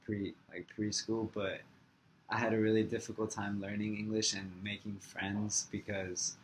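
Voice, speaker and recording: A young man with a somewhat low voice, from Florida, with Farsi as his first language. He answers interview questions casually and haltingly, with many "like" fillers. A faint hiss sits under his voice. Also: flat delivery, low-quality recording. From Florida, USA.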